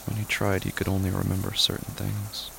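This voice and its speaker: A man narrating a horror story in a somber, grave and hushed manner, with deliberate pauses. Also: low voice, monotone, vocal fry. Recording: loud rain noise behind voice.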